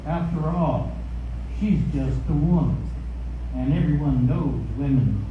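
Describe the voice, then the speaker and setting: A man's voice: on the high side, takes it slowly. A man playing a jealous, grumbling farmer in storybook reader's theater, resentful of a woman's success. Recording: echoey, distant, dull, noisy room.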